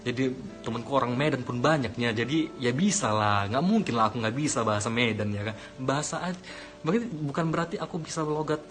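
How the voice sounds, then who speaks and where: A man's voice: medium pitch, lively intonation, fast talker, fairly bright tone. A young man from Aceh talking casually to viewers, hesitant with many fillers and restarts, slipping into English phrases. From Aceh, Indonesia. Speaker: testimony speaker. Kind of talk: casual motivational monologue to viewers. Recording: music playing behind.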